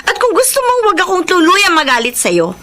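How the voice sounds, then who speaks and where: Young filipina housemaid, high voice, naive and lovestruck, emotive radio soap. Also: female voice, wildly swooping pitch, fairly quick, pushed and bright, full character voice. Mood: apologetic, defensive. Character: hopeful, trusting young housemaid in love. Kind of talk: Tagalog radio drama acting.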